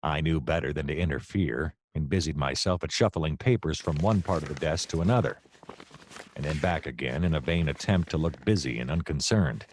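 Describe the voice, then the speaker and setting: Comic noir detective, deep voice, dry close sound, wry, wordy, deadpan radio narration. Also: male voice, brisk, no room sound. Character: wry, jokey noir private detective, a grown man. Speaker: narrator and lead character.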